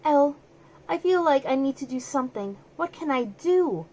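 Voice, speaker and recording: A woman's voice: high-pitched, animated, normal speed, dark tone. A woman voicing a sad, earnest little boy lion cub from a children's storybook, in a plaintive childlike manner. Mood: earnest, questioning. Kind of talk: children's storybook read-aloud.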